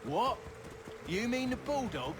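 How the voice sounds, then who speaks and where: An actor playing a cocky, carefree fighter in a video game, breezy and dismissive. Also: male voice, extremely high pitch, sing-song, slow, heavily acted. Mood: scoffing. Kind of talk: video game cutscene acting. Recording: loud music behind the voice.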